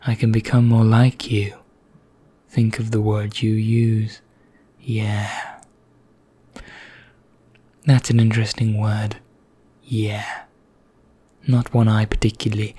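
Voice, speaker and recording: Slow, breathy ancient vampire knight played by a man. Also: lowish voice. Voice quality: soft, breathy, half-whispered, husky. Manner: measured, formal, condescending, deliberate pauses. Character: ancient male vampire knight, haughty, languid, darkly courteous. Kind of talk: ASMR roleplay monologue.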